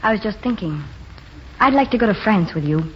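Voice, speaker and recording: An actress playing an earnest, plainspoken, yearning young waitress in a 1940s radio drama. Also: female voice, medium pitch, lively intonation, a little fast, a little hiss or hum, lightly acted. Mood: eager, pleading. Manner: frank, plainspoken, eager. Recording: dull 1940s radio broadcast with music.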